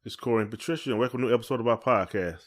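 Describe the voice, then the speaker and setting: A man's voice: medium pitch, rapid-fire. A man with a Southern US accent co-hosting a podcast, chatting casually and colloquially with playful teasing. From United States. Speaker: podcast co-host. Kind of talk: casual couple's podcast chat.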